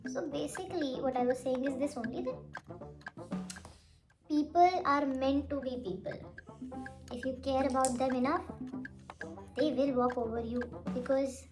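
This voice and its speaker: A young woman, a Hindi speaker, giving blunt, rambling advice in a casual makeup vlog while she does her makeup. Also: high voice. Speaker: beauty vlogger.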